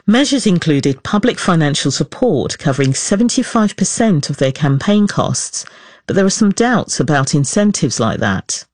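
A man hosting a documentary podcast, reading scripted narration in a clear, emphatic way. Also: on the high side, big pitch swings, moderate pace, no echo.

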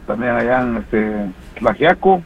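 A man's voice: medium pitch, animated, unhurried, lo-fi sound, slight background noise. A man calling in to a radio show, sending greetings to family in a rambling way with many fillers. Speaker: caller.

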